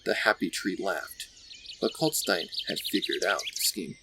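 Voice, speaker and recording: A man's voice: deep voice, measured pace, sharp tone. A man reading scripted story narration as the narrator of a dramatic tale.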